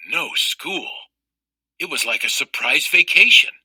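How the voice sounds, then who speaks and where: A man reading a children's story aloud as narrator, with careful, expressive, explanatory delivery. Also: a little high, unhurried, slightly rough, dry recording.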